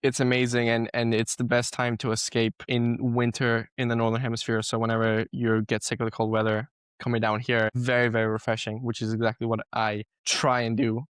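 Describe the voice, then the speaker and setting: Young South African man, smooth and even. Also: medium pitch, brisk, no echo. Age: 20s. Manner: chatty, loose, many restarts and fillers. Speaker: guest entrepreneur. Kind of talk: casual podcast interview.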